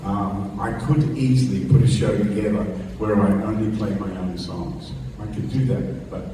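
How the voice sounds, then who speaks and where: A man's voice: lowish voice, fairly even intonation, normal speed, background noise. A man teaching a guitar workshop in a casual, rambling way, repeating and restarting words as he talks and plays. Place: large echoey hall before an audience. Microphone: distant microphone. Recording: roomy hall sound from a distance. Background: guitar playing.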